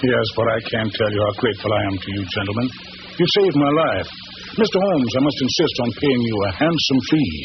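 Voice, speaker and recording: A man playing a courteous, earnest gentleman scholar with formal, measured phrasing in 1940s radio drama style. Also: medium pitch, close to an everyday voice. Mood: grateful. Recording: muffled, noisy old radio recording.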